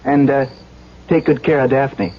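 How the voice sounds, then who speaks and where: An actor playing a sober, earnest engineer who is conflicted, in a radio drama. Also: male voice, medium pitch, steady tone, measured pace, lo-fi sound, lightly acted. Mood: cheerful. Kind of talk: radio drama acting with first-person narration. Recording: band-limited 1970s radio drama, music behind.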